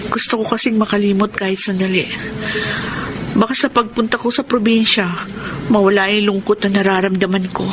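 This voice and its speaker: An actress playing a heartbroken young woman in a Filipino radio drama, speaking with sorrowful, earnest feeling and dramatic pauses. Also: mid-range voice, fairly even intonation, noisy background, natural voice. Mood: sad, pleading. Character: heartbroken young woman, ashamed of her past. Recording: music bed under the voice.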